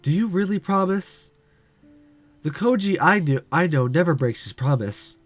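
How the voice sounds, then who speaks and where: A man voicing a calm, cool-headed young woman who works in a café, speaking softly and evenly. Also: a little high, moderate pace, no room sound, soft background music. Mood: earnest.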